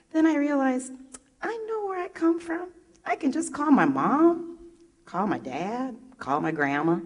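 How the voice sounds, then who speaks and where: Low-voiced woman telling stories on a stage. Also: a little mellow. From Liberia. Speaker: guest speaker, first grade teacher. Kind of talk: storytelling talk at a live event. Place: event hall stage. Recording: echoey hall.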